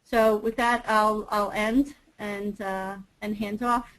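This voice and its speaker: A woman's voice: mid-range voice, little pitch movement, takes it slowly, fairly bright tone, rough recording. A woman speaking as a webinar panelist, hesitant, with frequent ums and restarts. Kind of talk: casual talk on a webinar.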